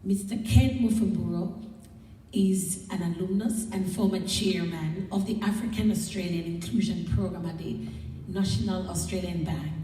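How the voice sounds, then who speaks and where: A woman with a lowish, dark voice makes a formal introduction as master of ceremonies. She is measured in delivery, and the sound is very echoey, as in a big hall. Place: large indoor event room. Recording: echoey hall, distant, noisy.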